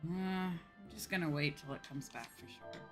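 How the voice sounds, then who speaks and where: A woman's voice: low-pitched, lively intonation, moderate pace, music in the background. A woman chatting casually on a livestream while she plays a game, musing aloud and repeating herself. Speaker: livestreamer playing an online game.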